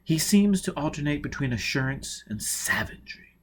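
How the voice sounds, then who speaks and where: A man's voice: on the high side, normal speed. Man playing an imperturbable, formal patrician father. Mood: composed, admonishing. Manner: calm, formal, gently admonishing.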